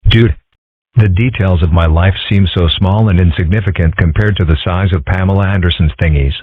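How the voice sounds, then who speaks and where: A man voicing a calm, philosophical young fox boy who muses dreamily in an amateur comic dub. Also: sits low, fairly quick, breathy edge. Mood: musing. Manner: dreamy, musing. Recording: dry, phone-like narrow sound.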